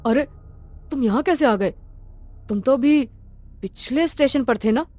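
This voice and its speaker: Woman voicing a bold, irritable male traveller, higher-pitched. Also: warm tone, dry recording. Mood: puzzled, questioning. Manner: brisk, argumentative, challenging. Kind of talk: cartoon story dialogue.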